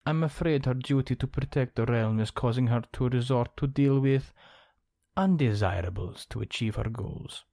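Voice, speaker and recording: A man's voice: mid-range voice, normal speed, vocal fry, warm tone, no echo, studio quality, lightly acted. A man playing a suave, gossipy, scheming count in an ASMR roleplay, speaking in a genteel, theatrical manner. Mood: musing, conspiratorial. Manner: genteel, theatrical, arch phrasing.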